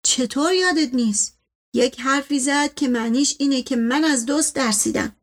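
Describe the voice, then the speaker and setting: A woman's voice: high-pitched, natural voice. A woman voicing an imperious, suspicious older male patriarch in an audiobook story, stern and pompous in manner. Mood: pompous, insistent.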